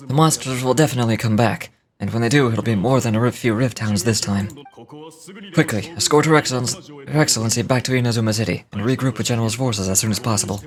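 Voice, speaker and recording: A man's voice: mid-range voice, lightly acted. A man playing a devoted, gallant samurai soldier in a video game, speaking formally and earnestly, as if declaiming. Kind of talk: dramatic reading of video game dialogue.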